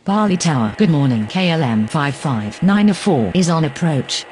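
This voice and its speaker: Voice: mid-range voice, very animated, normal speed, darkish tone, a little hiss or hum, natural voice. A performer playing a composed airline pilot and controller in a game, giving formulaic aviation radio calls. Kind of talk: scripted aviation radio phraseology.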